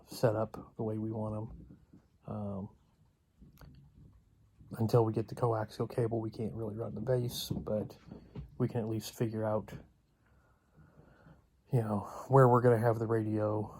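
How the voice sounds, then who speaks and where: A man giving a casual, unscripted vlog update in a halting, rambling way with long pauses. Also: fairly low pitch, background noise. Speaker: video blogger.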